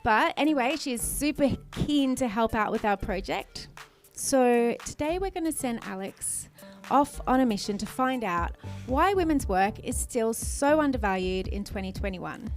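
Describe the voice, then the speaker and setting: A woman's voice: on the high side, expressive, normal speed, faint music behind. An Australian woman co-hosting a podcast, giving a chatty, playful scripted introduction. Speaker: podcast co-host, performer.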